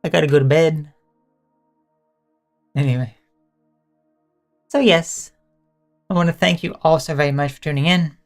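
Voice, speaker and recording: A hoarse woman streamer chatting casually and teasingly with her viewers as she wraps up a live stream, often correcting herself mid-sentence. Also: low voice, steady tone, normal speed, long pauses, a little mellow, soft background music. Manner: rambling, self-correcting, teasing chat toward viewers.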